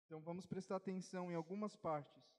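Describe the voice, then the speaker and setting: A man interpreting a sermon live, turning each phrase over quickly and fluently. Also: high-pitched, fairly even intonation, a little mellow. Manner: quick, fluent rendering with fillers. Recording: long hall reverb.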